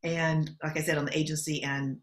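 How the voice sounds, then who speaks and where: A middle-aged American woman from Los Angeles, answering interview questions in an articulate, measured way. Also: low-pitched, flat delivery. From Los Angeles, USA. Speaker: guest. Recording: roomy echo.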